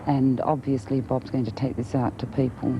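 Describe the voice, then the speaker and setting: Gravelly Australian woman, noisy dull recording. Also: extremely low pitch, flat delivery, moderate pace. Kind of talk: informal interview opinion.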